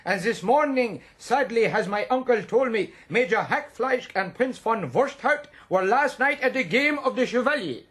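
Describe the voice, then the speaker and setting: Stern Germanic army officer, radio play: high-pitched, imperious, inverted phrasing, German accent. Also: male voice, animated, normal speed, hardly any pauses, fairly bright tone. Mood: angry scolding. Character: stern, officious young Prussian army captain. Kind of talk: radio drama acting.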